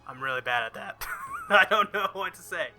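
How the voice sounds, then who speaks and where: Man, very bright forceful voice, slightly high, dramatic game narration, casual asides, music behind. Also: wildly swooping pitch, moderate pace. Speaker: let's player. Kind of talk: visual novel playthrough, reading aloud with reactions.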